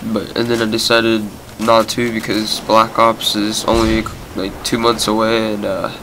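A man's voice: fairly low pitch, completely flat delivery, a little slow. A young man with a cold, giving rambling, casual commentary over his gameplay with lots of fillers and a stuffed, nasal voice. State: sick, nasal voice. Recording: background game gunfire noise.

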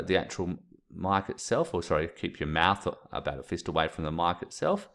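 Man, 30s, very low voice, plain step-by-step tutorial explainer on a desk USB mic. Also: a bit bright. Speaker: teacher. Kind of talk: screen-recorded tutorial. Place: small indoor room. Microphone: USB desk mic, webcam framing.

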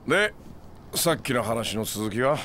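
A man's voice: mid-range voice, lively intonation, unhurried, sharp tone. A man playing a laid-back, shrewd money lender in a game cutscene, casual and probing, with ambient noise behind him. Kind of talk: video game cutscene acting.

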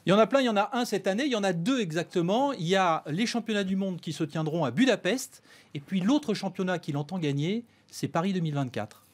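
Man, sports pundit, high voice, rapid talk, animated radio discussion, studio desk mic. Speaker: sports correspondent. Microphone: studio desk microphone.

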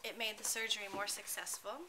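A woman's voice: mid-range voice, brisk. A woman in her thirties giving an earnest, conversational testimonial with small restarts, filmed at home on a camera close to her face. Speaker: pet owner giving a testimonial. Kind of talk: casual spoken testimonial. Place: small room at home, indoors. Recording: noisy home video recording. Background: steady background noise.

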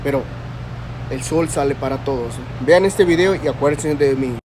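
A man's voice: medium pitch, normal speed. A man with a Mexican accent chatting casually in slang to his followers, selfie-vlog style. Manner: slangy, with catchphrases and repeated appeals to followers. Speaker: social media lottery scratcher promoter. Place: small liquor store, indoors. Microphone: phone at arm's length. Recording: noisy store, phone recording.

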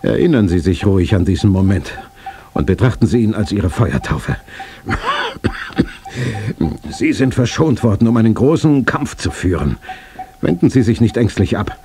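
An actor playing a stern, determined old tycoon near death in a German radio play, solemn and commanding. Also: male voice, fairly low pitch, lively intonation, moderate pace, natural voice. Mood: solemn, weakening. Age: older. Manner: solemn, commanding, with coughing fits.

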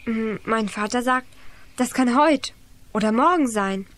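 An earnest, devout child with a high voice, patiently explaining in a children's radio play. Clear birdsong and noise sit behind the voice. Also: female voice, unhurried, a bit bright.

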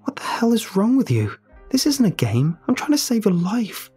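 A man playing a desperate young man who shouts and pleads in an audio story. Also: sits high, huge pitch swings, normal speed, husky, faint music behind, voice pushed up, full character voice. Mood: angry.

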